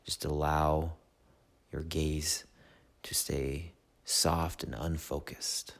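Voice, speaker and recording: Super deep guy doing guided meditation, really slow and soothing, lots of pauses. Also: flat delivery, vocal fry. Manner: soothing, measured, long pauses between short phrases.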